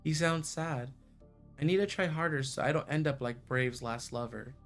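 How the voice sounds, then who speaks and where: A male actor plays an anxious young man telling his own story in a visual novel. His voice sits in a middle register, introspective and uneasy. Music plays softly underneath. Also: medium pitch, normal speed, natural voice. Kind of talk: first-person visual novel narration.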